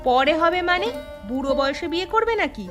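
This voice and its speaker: A woman's voice: very high voice, lively intonation, a little fast. A woman voicing a caring but bossy married village sister-in-law in a cartoon fairy tale, familiar and insistent. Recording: music clearly under the voice.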